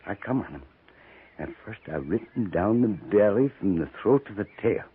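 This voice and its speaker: A husky, low-voiced buffalo skinner from a radio western. He brags with earthy relish, his folksy talk swooping up and down. The old broadcast sounds thin and narrow. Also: lively intonation, moderate pace. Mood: boastful relish. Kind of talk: 1950s radio western acting. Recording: thin, band-limited 1950s radio recording.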